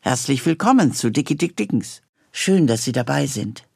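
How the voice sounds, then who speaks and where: A man's voice: mid-range voice, expressive, normal speed, gravelly, slightly rough, no echo, clean studio sound. A man hosting a radio series, giving a scripted, anecdotal introduction.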